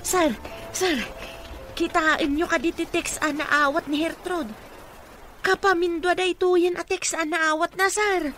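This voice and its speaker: A woman's voice: very high voice, steady tone, a little slow, pauses often, a bit bright, soft background music. An actress playing a concerned, insistent female co-worker at a mansion in a radio drama, with an Ilocano accent. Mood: urgent.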